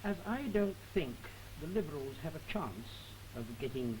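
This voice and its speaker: A man's voice: on the high side, lively intonation, normal speed. A man putting a formal question as a voter in a 1950s political broadcast. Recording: noisy 1950s archive broadcast recording.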